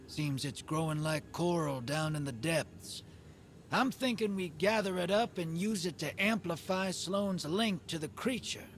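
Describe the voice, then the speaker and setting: A man's voice: a little high, fairly bright tone, slight background noise, full character voice. A man voicing a wry, cocky scavenger and schemer in a video game, with a folksy, teasing drawl.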